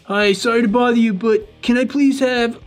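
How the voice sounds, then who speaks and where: A man's voice: very high-pitched, steady tone, normal speed, faint music behind. A man acting a scheming but very polite, apologetic male robber in a game roleplay. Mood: politely menacing.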